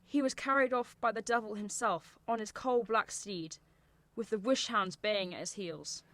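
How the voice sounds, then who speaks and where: A woman's voice: mid-range voice, moderate pace, a bit bright, natural voice. A teenage girl playing a judgmental, gossiping, superstitious village girl in a radio play. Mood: ominous. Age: teens.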